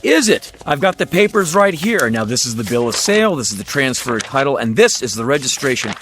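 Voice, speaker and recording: A man's voice: medium pitch, very animated, a little fast, noisy background, close to an everyday voice. A man with an American accent playing a slangy, insistent used car salesman in a radio drama. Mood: eager, insistent. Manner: slangy, emphatic, salesman patter.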